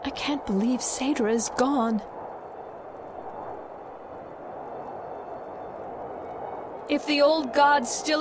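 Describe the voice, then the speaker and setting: A woman's voice: sits high, noisy background. A woman playing a grieving, defiant warrior in a video game cutscene, solemn and bitter.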